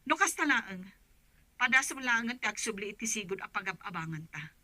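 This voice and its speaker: Piercingly bright Filipino mother. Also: medium pitch, expressive, a little fast, dry recording. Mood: exasperated. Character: struggling mother working away, worried and exasperated. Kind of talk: Ilocano radio drama acting.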